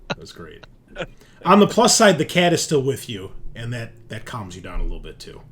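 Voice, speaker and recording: A man's voice: mid-range voice, wildly swooping pitch, moderate pace, fairly bright tone. A man casually narrating and explaining a tabletop game as game master, in an informal way.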